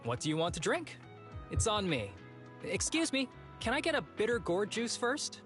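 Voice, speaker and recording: An easygoing, perceptive young agent from a video game. His delivery is wry and measured, yet the pitch swings widely. Faint music plays behind him. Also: huge pitch swings, a bit bright, heavily acted. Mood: relaxed, wry.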